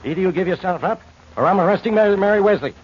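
An actor playing a stubborn, impatient police inspector in an old-time radio detective drama. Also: male voice, high voice, lively intonation, rapid-fire, husky. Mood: stern, impatient. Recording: muffled, noisy old radio recording.

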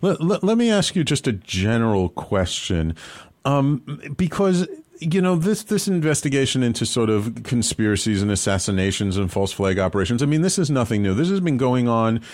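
Halting male podcast host. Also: mid-range voice, big pitch swings, darkish tone. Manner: halting, many fillers and restarts. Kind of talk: interview hosting.

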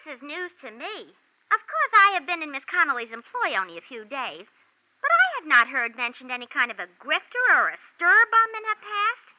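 Brassy, high-voiced wisecracking maid. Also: female voice, sing-song, a little fast, slight background noise. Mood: chatty. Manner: mock-formal, stilted diction with slangy wisecracks. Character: brassy female maid and dancer affecting refined speech. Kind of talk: 1940s radio drama acting. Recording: dull vintage 1940s radio recording.

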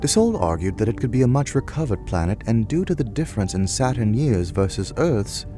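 An earnest, bookish man with a low, lively voice. He explains his theories gently and eagerly, like a scholar in an audio drama, with music clearly playing underneath. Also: a little fast. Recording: studio radio play with music underneath.

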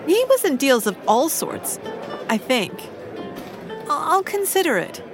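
An actress playing a genteel older society widow in an audio drama, polite, chirpy and refined. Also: female voice, sits high, sing-song, measured pace, over music, a crowd in the background. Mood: breezy. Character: genteel, busy English society widow, bright and chatty.

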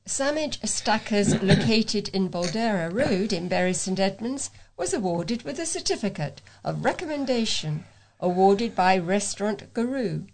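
Woman, medium pitch, volunteer newsreader, scripted local news. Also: expressive, unhurried, darkish tone, slight background noise, low-quality recording.

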